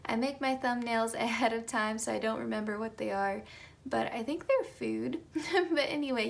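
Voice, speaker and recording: Young female tarot reader, chatty, slightly high voice with a gravelly edge, just out of bed. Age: young adult. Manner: chatty, light laughs, soft whispered card calls. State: just woken up, slightly raspy. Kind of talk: casual pick-a-card tarot reading.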